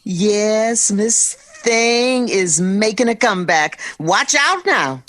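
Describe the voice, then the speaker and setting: A woman playing a warm, playful, reassuring middle-aged mother of a grown son in a natural audio drama. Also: medium pitch, animated, takes it slowly. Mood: playful, loving.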